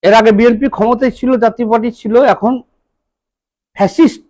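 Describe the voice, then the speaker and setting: A man from Bangladesh with a Bangladeshi accent, giving a political monologue in deliberate, halting phrases. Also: very high-pitched, fairly even intonation, a little mellow. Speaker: political commentator.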